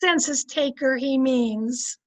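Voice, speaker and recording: An actress playing a wry, chatty housewife who quips and persuades in old-time radio comedy style. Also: female voice, high-pitched, slow. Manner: quipping, persuasive. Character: wry, chatty housewife, wife of census taker.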